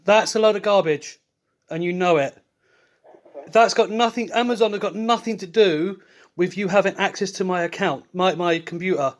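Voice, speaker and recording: A man's voice: high voice, moderate pace. Blunt skeptical man. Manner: skeptical, blunt, increasingly confrontational. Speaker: call recipient confronting a scammer.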